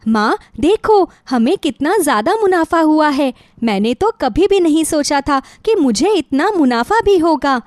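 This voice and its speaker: A woman's voice: high voice, animated, a little slow, few pauses, no room sound, clean studio sound. A woman voicing a kind, cheerful young daughter who sells food, speaking politely and eagerly in a moral story. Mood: happy, eager.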